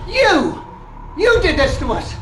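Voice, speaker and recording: A man's voice: extremely high pitch, huge pitch swings, slow pace, bright, forceful tone, slight background noise, heavily acted. An actor playing a grieving, accusing father in an audio drama, raw and distraught. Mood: furious accusation. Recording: echoey room, music behind.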